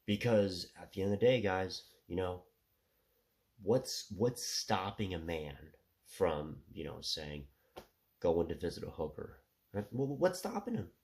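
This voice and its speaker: A man in his thirties talking through a rambling casual monologue with a stuffy nose, filling every pause with "you know" and "right". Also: low voice, big pitch swings, darkish tone. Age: 30s. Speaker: solo commentator.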